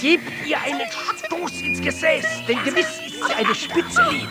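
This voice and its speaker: A woman playing a spiteful, excitable pursuer in a mob, acted in an exaggerated radio play style. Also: high voice, moderate pace. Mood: gleeful, egging on. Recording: loud music behind the voice.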